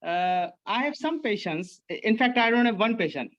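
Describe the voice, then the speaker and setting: High-voiced Indian man on a call. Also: animated, fairly bright tone. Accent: Indian English. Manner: earnest, halting with many fillers and restarts. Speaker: guest, online English teacher. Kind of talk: casual video-call chat. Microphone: video call. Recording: slightly dull, lo-fi call audio.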